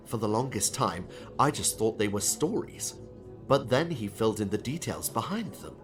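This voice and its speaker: An actor playing a proud, eager male inventor in an audiobook drama, with an earnest, expressive delivery. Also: medium pitch, close to an everyday voice. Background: soft background music.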